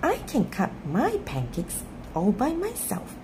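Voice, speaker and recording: A woman's voice: mid-range voice, a little slow. A woman voicing an earnest, childlike little boy bear in a storybook. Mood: proud. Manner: childlike, expressive. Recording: clear background noise.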